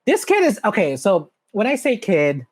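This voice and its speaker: A bubbly male podcast host with a fairly high voice that leaps even higher when he laughs. He talks in a chatty, self-correcting way, and the sound is close and dry. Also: normal speed, no echo. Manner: bubbly, laughs often, swings up high in pitch. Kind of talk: casual interview chat.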